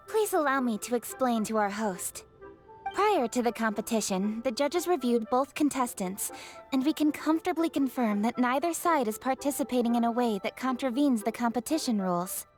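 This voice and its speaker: A woman's voice: on the high side, expressive, moderate pace, a little mellow, close to an everyday voice. A woman voicing a composed young female judge in a video game, speaking formally and articulately over music. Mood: composed, explaining. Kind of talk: English video game voice acting.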